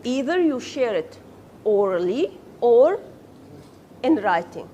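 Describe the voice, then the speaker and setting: A woman's voice: fairly high pitch, very animated, slow pace, mellow, dull audio, slight background noise. A woman whose first language is Arabic, teaching a class and quizzing her students, then praising their answers. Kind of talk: classroom lecture with questions.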